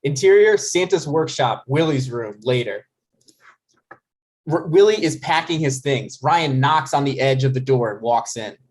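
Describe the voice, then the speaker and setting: Medium-pitched man reading stage directions. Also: animated, a little slow. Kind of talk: scripted narration at a comedy table read.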